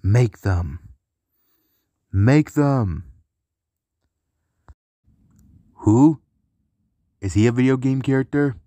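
Guy doing a bossy cartoon bad guy, big blustery short fuse, mid pitch, takes his time. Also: animated, slow, dark tone. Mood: angry. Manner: bossy, blustering, repeats words. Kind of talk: cartoon villain character lines.

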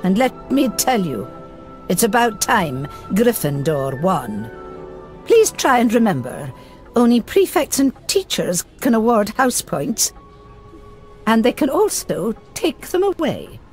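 A woman's voice: a little high, wildly swooping pitch, slow pace, a little mellow, faint music behind, heavily acted. A woman playing a strict, prim older professor in a video game, precise and authoritative. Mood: proud, proper. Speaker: teacher. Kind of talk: video game character acting.

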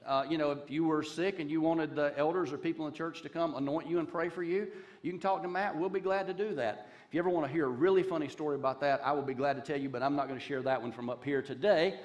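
A man's voice: on the high side, steady tone, fast, lo-fi sound. A man in his fifties from Charlotte with a Southern accent, a guest pastor giving a conversational sermon on a church stage with folksy jokes and asides, speaking into a headset mic. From Charlotte, North Carolina. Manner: folksy, joking asides, gestures while pacing the stage. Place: large dark church stage.

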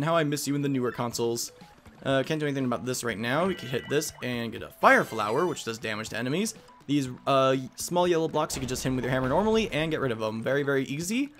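A man giving casual, chatty let's play commentary with slangy enthusiasm. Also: medium pitch. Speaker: gaming video host.

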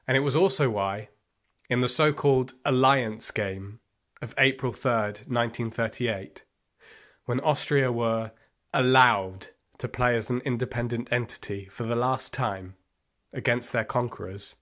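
An actor playing a reflective football fan, narrating his memories of the Nazi era in an audio play in a measured, wry way. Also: mid-range voice, fairly bright tone, lightly acted. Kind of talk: first-person dramatic storytelling in an audio play.

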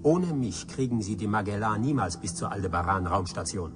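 An actor playing a dutiful military spaceship commander in a science fiction radio drama, formal, guarded and terse. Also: male voice, lowish voice, lively intonation, brisk, over music, close to an everyday voice. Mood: defiant.

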